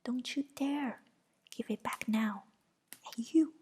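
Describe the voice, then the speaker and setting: Woman doing a young witch, shrill, furious, whispered, high, slow. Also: a little mellow, slight background noise.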